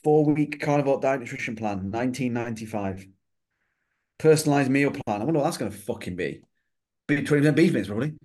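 A man co-hosting a podcast, telling animated anecdotes in casual, sweary chat. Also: medium pitch, fast. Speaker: podcast co-host.

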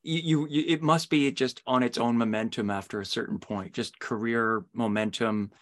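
A man's voice: mid-range voice, steady tone, normal speed. A middle-aged man hosting a podcast interview in a conversational style.

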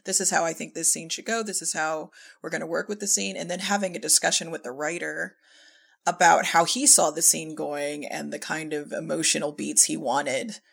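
A woman's voice: deep voice, fairly even intonation, moderate pace, vocal fry, a bit bright, slightly rough. A woman talking casually and conversationally about her creative work as a writer and director. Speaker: podcast guest, audio drama writer and director.

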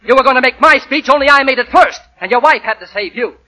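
An actor playing a jealous, belligerent office rival, sneering and combative, in 1940s radio style. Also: male voice, very high-pitched, fast talker, sharp tone, heavily acted.

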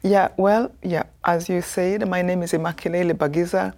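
A woman from Rwanda in her fifties with a Rwandan accent, telling her life story as a guest in an earnest, soft-spoken way. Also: fairly low pitch, fairly even intonation, normal speed. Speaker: guest, author.

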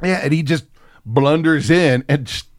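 A man's voice: a little high, measured pace, no room sound, studio quality. A man hosting a casual, comedic movie podcast, riffing with plenty of swearing. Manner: profane, riffing, animated. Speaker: podcast host.

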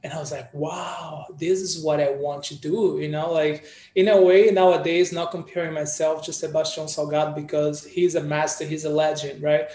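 A man from Brazil chats as a podcast guest with a relaxed, storytelling style, leaning on "you know" fillers. His voice sits fairly high for a man. The room around him rings with echo. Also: moderate pace, hardly any pauses. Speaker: guest photographer. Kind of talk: casual interview chat.

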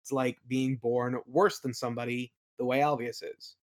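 Podcast guest, medium pitch, casual conversation, slightly hesitant, self-softening. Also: flat delivery, normal speed. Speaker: interview guest, creator of a fantasy audio play. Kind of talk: casual interview chat about worldbuilding.

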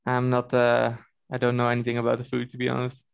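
A man's voice: medium pitch, quick pace, creaky, clear, resonant tone. A young Dutch man with a Dutch accent, talking casually and a bit hesitantly as a guest on a video call. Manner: relaxed, hesitant with fillers and restarts.

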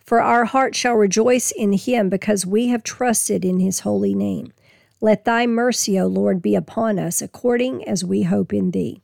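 A woman, losing her voice, reading scripture slowly and explaining it in a folksy, plain way. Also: medium pitch, gravelly, darkish tone, no room sound, clean studio sound. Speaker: Bible teacher. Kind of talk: casual Bible teaching with scripture reading.

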